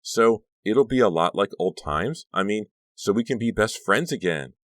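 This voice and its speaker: A man's voice: on the low side, expressive, moderate pace, a bit bright, dry recording, studio quality, voice pushed up. A man voicing a gushing, clingy, overexcited teenage girl in an audiobook, with emphatic, repeated phrases. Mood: gushing, emotional.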